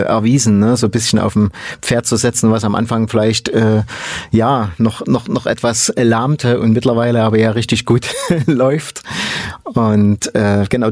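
A man's voice: medium pitch, darkish tone, no room sound. A man interviewing a band, chatty and affirming, talking in long, rambling sentences. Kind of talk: casual interview talk.

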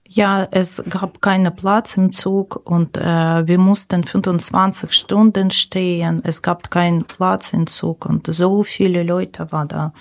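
Middle-aged woman, Ukrainian accent, slightly low voice, slow halting delivery, personal recollection. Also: little pitch movement. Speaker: guest, former teacher.

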